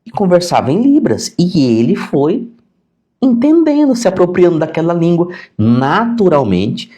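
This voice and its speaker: A man's voice: high voice, big pitch swings, measured pace, mellow. A middle-aged man with a Brazilian Portuguese accent, a teacher giving an informal live lesson in a conversational, didactic way, repeating key points for emphasis. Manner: conversational, acts out questions to himself. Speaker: sign language teacher. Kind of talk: informal live-stream lesson answering viewer questions.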